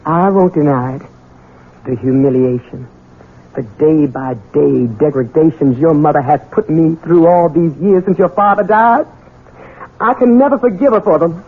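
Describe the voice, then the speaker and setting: An actress playing a middle-aged household servant, anxious, bitter and deferential, in 1970s radio drama style. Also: female voice, sits low, moderate pace, breathy edge. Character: bitter, frightened older female household servant. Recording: muffled narrow-band 1970s radio broadcast.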